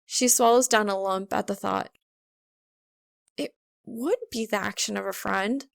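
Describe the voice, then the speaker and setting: A woman narrates a story in an expressive reading style. Her voice sits at a medium pitch with plenty of creak, and the sound is dry, with very little room. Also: measured pace, dry recording. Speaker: fan fiction audiobook reader.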